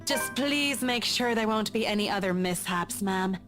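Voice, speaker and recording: A woman's voice: medium pitch, moderate pace, over music, lightly acted. A woman playing a polite, guarded professional in a visual novel game, formal and restrained in manner. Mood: polite, firm.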